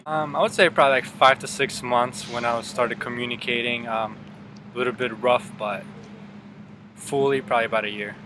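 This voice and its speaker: A man's voice: mid-range voice, moderate pace, background noise. A young man whose first language is Polish, answering interview questions casually and hedging with "um" and "like" as he goes. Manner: relaxed, hedging with "um" and "like". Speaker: student interviewee.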